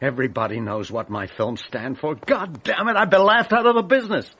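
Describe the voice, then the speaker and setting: An actor playing a vain, volatile film director cornered as a killer, ranting and menacing in a radio drama. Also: male voice, a little high, fairly quick, bright, forceful tone, breathy edge. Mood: furious, anguished. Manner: ranting, swinging from menace to outburst.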